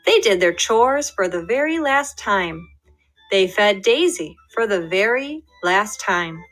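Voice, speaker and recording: A woman's voice: medium pitch, animated, takes it slowly, fairly bright tone, faint music behind. A young adult woman, a first grade teacher, reading a picture book aloud to her class and talking to her students.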